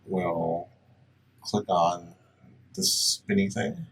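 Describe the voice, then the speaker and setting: Low-voiced man giving a software tutorial. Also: big pitch swings, very slow. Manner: plain-spoken, instructional. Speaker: tutorial presenter. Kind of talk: casual step-by-step software tutorial. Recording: distant mic, faint background noise.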